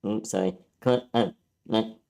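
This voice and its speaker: A man's voice: lowish voice, steady tone, unhurried, vocal fry, mellow. A man talking casually as a participant in an online meeting. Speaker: participant in an online table read.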